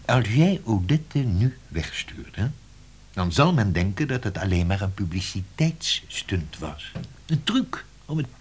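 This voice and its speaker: A shrewd publishing boss, low husky voice, coaxing, teasing, theatrical, radio play. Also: male voice, very animated, measured pace, heavily acted. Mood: persuasive, teasing. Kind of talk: Dutch radio drama acting.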